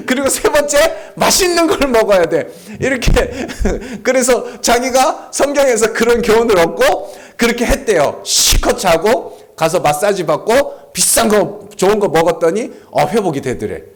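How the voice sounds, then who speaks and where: A man preaching to his congregation at a Friday prayer service, pitched a bit high with a swooping, lively delivery. He talks to them conversationally, pressing points home emphatically and peppering the sermon with rhetorical questions. He sounds engaged and insistent throughout. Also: measured pace, hardly any pauses. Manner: emphatic and animated. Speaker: pastor. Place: church sanctuary.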